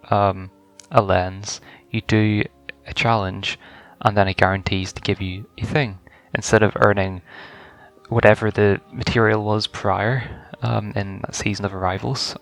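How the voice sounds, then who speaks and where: A man's voice: on the low side, fairly even intonation, moderate pace, vocal fry. A man giving casual first-reaction gameplay commentary, informal and stumbling a little as he reads game text aloud. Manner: informal, stumbles over words when reading aloud. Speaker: gaming video creator.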